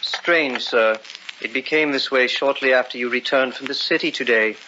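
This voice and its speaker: An actor playing a deferential, formal butler with a clipped manner in an old-time radio drama. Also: male voice, medium pitch, normal speed, sharp tone, close to an everyday voice. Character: deferential, proper male household butler. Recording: muffled, hissy old radio recording.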